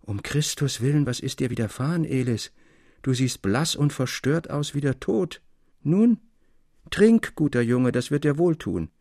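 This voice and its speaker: Concerned old male mine foreman acted by a man for a literary tale: grave, creaky, closely recorded. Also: medium pitch, expressive, unhurried, a little mellow, no room sound, studio quality. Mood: alarmed, kindly. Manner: solicitous, grave. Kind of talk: dramatic literary audiobook reading.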